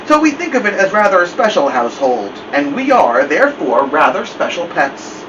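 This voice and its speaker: A man's voice: high-pitched, sing-song, moderate pace. A man voicing a wry, dignified old family dog in first-person storybook narration, with formal, digressive wording. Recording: muffled, distant, noisy room hum.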